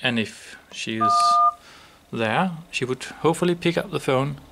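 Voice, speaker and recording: Gravelly man demonstrating a product. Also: mid-range voice, a little slow, heavy background noise.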